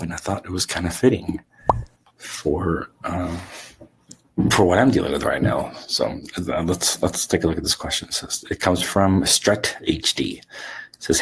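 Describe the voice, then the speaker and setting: A man with a gravelly, congested voice, talking as a sleep coach in a relaxed, reflective way with fillers and restarts. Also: deep voice, slight background noise, rough recording. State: sick, congested with sore throat. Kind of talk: solo talk to camera.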